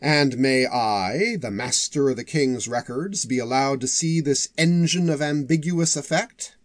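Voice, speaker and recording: A man's voice: mid-range voice, a little slow, no room sound, lightly acted. Man voicing a dry, pompous old master archivist. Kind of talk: audiobook character voice.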